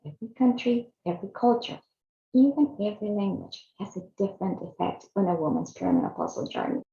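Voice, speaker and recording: A woman's voice: medium pitch, wildly swooping pitch, brisk, warm tone. A woman in her fifties from Chile, earnestly narrating a personal video series she hosts. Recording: echoey, slightly dull room sound.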